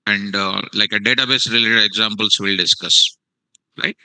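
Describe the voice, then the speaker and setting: A male programming teacher, giving a live lecture to students in a classroom and online, repeating phrases slowly for dictation. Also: fairly low pitch, steady tone, bright, forceful tone, smooth, no room sound, low-quality recording. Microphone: online meeting.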